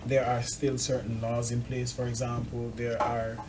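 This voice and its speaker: A man with a medium-pitched voice interviews in a conversational way. He is measured and probing, laying out his argument piece by piece in short phrases. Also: completely flat delivery, background noise. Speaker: interviewer, host. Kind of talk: conversational discussion.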